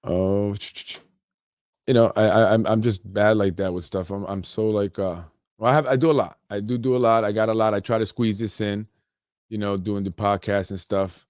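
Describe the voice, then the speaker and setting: A man's voice: lowish voice, fairly even intonation, moderate pace, a little mellow, no echo, studio quality. Rambling, chuckling New man. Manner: rambling, chuckling asides, many restarts. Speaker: podcast host. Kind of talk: casual political commentary reading an article aloud.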